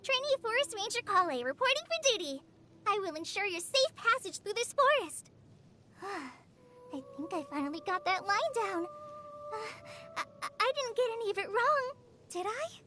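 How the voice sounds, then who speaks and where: A woman's voice: extremely high pitch, very animated, moderate pace, sharp tone, slight background noise, exaggerated voice. A woman voicing an eager, nervous young girl training as a forest ranger in a video game, earnest but hesitant. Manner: earnest, hesitant and self-doubting. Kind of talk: video game character voice lines.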